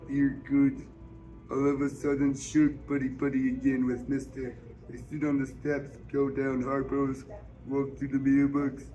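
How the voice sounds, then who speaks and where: A man's voice: mid-range voice, completely flat delivery, measured pace, warm tone, lo-fi sound, faint music behind. A man reading a novel aloud, delivering first-person narration written in Southern dialect. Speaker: book reader. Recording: noisy public store ambience.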